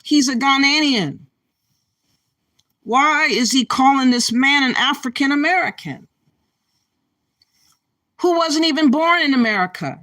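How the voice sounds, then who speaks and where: A woman's voice: high voice, expressive, unhurried, pauses often, sharp tone, no room sound. A woman hosting a reaction commentary, ranting indignantly and calling her viewers "y'all.". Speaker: commentary channel host.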